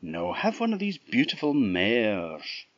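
A man's voice: medium pitch, huge pitch swings, slow, no echo, lo-fi sound, pitched above the performer's own voice, heavily acted. A man doing a proud magical master, firm. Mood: insistent. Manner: firm, insistent. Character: stubborn, proud male Green Man of Knowledge.

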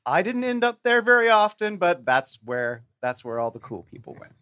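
A man's voice: high voice, sing-song, moderate pace, pushed and bright, no echo, clean studio sound. A man speaking as a podcast guest in casual chat, with a wry, emphatic way of talking.

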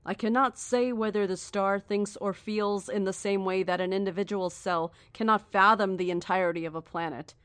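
An actress playing a cryptic, coldly certain cult founder in a horror audio drama, speaking in a measured, formal and deliberate way. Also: female voice, medium pitch, steady tone, a bit bright, smooth, dry recording, close to an everyday voice. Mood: calm, knowing.

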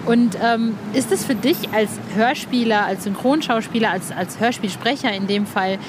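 A woman interviewing casually for a podcast, halting and repeating words with a keen fan's excitability. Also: fairly high pitch. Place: in Berlin with street traffic audible. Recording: clear city traffic noise behind. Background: car traffic.

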